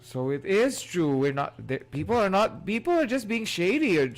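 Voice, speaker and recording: Casting: chatty male streamer, highish voice, very lively delivery, casual gameplay commentary. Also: normal speed. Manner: playful, chatty. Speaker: game streamer. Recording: game music behind.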